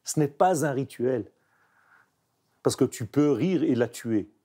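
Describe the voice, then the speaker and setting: A man, a painter speaking French as a second language, reflecting emphatically in an interview. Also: medium pitch. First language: not French. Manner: animated, emphatic, searching pauses. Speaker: painter guest.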